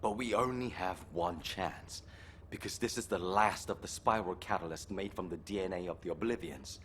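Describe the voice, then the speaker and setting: A man's voice: deep voice, moderate pace, fairly bright tone. A man playing an earnest, hopeful scientist in a video game, explaining technical matters persuasively. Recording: game audio with faint noise behind.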